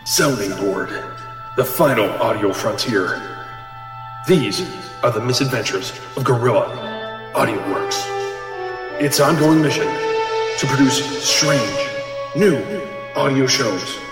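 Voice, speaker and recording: A man's voice: medium pitch, slow, long pauses. A man doing casual podcast banter and playful show narration. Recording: reverb on voice under music.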